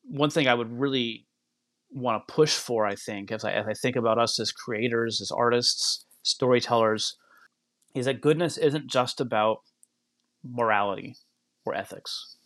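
A man's voice: mid-range voice, normal speed, fairly bright tone, dry recording. A man speaking as a podcast guest, thinking aloud and explaining in a reflective, conversational way.

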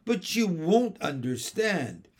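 Storybook nanny: male reader as a brisk, sensible woman, higher-pitched, measured pace. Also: very animated, heavily acted. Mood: matter-of-fact.

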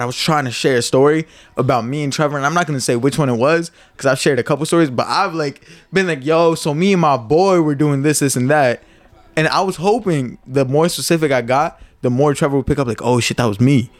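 A man's voice: a little high, a little fast. A man hosting a casual podcast with friends, laughing often and swearing freely. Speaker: podcast host.

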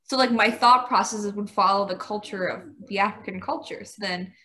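A woman's voice: mid-range voice, normal speed, sharp tone. A young woman with an American accent, casually explaining her thinking and checking with questions. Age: young adult. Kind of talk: casual discussion.

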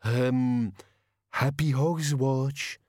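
A man's voice: mid-range voice, fairly even intonation, very slow, darkish tone, dry recording, studio quality. A man voicing a whining, pleading bogeyman monster for an audiobook. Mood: sheepish. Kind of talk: audiobook character voice.

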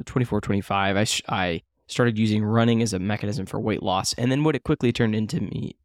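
A man's voice: fairly low pitch, darkish tone, no echo, studio quality. A man in his late twenties co-hosting a casual chat, talking in a rambling, self-deprecating way with many fillers and restarts. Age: 20s. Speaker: podcast co-host.

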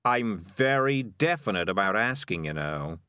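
A man's voice: on the low side, animated, unhurried, sharp tone, clean tone, no echo, clean studio sound. A man voicing a self-important, sulky male talking animal, pedantic and defensive, in an audiobook story.

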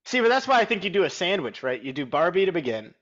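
High-pitched young man bantering, slightly dull audio. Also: big pitch swings, fast, fairly bright tone. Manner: teasing, mock-combative, slangy. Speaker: podcast co-host. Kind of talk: casual banter.

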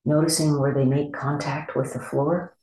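A meditation teacher leading a body scan in a gentle, unhurried way, leaving long pauses between instructions. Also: medium pitch, little pitch movement. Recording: echoey room.

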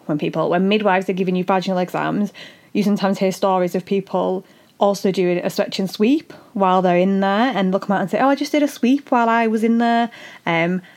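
A woman with a husky, fading voice, hosting an educational podcast and explaining things earnestly, step by step. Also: mid-range voice, a little mellow. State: husky voice after illness. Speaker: podcast host and doula. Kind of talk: solo educational podcast talk.

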